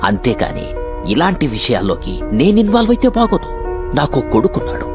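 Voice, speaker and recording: An actor playing a menacing middle-aged politician in an action film, commanding and moving between reasoning and threats. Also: male voice, a little high, huge pitch swings, fairly quick, music in the background. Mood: forceful persuading. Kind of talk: Telugu action film acting. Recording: loud film score behind dialogue.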